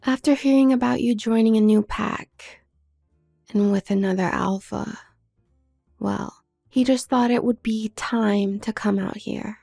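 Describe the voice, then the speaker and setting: A woman playing a haughty, manipulative younger werewolf sister from a noble family in an audio drama, speaking with condescending, needling smoothness. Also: medium pitch, fairly even intonation, unhurried, a little mellow, soft background music. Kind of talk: scripted audio roleplay drama.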